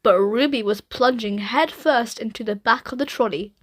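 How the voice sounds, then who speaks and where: A woman reading a children's story aloud in an expressive narrating style. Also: a little high, moderate pace. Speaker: storybook reader. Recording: roomy echo, music.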